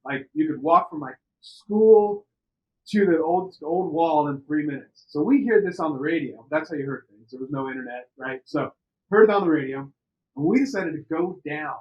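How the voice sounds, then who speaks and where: A middle-aged man from the United States telling personal stories on a podcast in an animated, conversational way, repeating words for emphasis. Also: a little high, lo-fi sound. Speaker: podcast interview participant. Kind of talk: personal storytelling.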